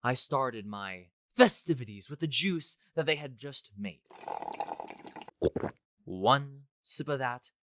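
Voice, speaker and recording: A man's voice: medium pitch, lively intonation, takes it slowly, long pauses, background noise. A man playing a smug, deluded college student who thinks he is the Joker, in a pompous, theatrical story reading. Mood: grandiose. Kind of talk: dramatic first-person story reading.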